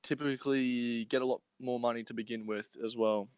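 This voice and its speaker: A man's voice: medium pitch, fairly even intonation, brisk, clean tone, no echo. A man co-hosting a casual comedy podcast, joking crudely and often repeating himself. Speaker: podcast co-host.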